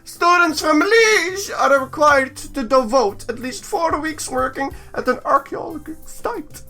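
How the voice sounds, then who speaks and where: A man acts out a courteous female guide from a dating game. The voice sits very high and swoops about. Sentences come slowly and carefully, like formal instructions, with music behind. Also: animated, bright, forceful tone, full character voice. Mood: courteous, instructive. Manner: slow, drawn-out, instructive delivery. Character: polite, businesslike young female archaeology staff guide.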